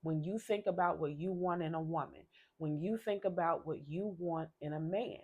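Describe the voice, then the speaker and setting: Casting note: woman tarot reader, low voice, sick and half-losing her voice, chatty and rambling. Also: moderate pace, a little mellow, highs cut off. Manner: chatty, rambling, repeats and restarts. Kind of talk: casual tarot reading to camera.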